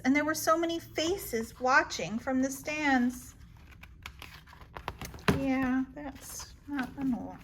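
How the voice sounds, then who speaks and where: A woman reading children's bedtime stories aloud as a library story-time host, in an animated, expressive manner. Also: high voice. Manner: animated, asks the young listeners questions.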